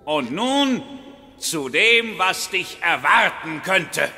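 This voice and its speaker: A vain, pompous sea god for a cartoon audio play. The voice is high and swoops grandly in scornful, imperious proclamations. It rings in a long hall reverb. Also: male voice, very animated, takes it slowly, very noisy, full character voice. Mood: scornful, thundering. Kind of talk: German cartoon audio drama acting.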